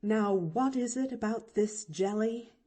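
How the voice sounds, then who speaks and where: A woman's voice: mid-range voice, measured pace, mellow, close to an everyday voice. A woman reading an audiobook, voicing a stern, impatient older aunt with a cold, clipped manner.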